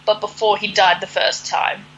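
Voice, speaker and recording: A woman's voice: medium pitch, flat delivery, moderate pace, fairly bright tone, lo-fi sound, a little hiss or hum. A woman co-hosting a podcast in casual chat, with an informal, sardonic way of talking. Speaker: podcast co-host.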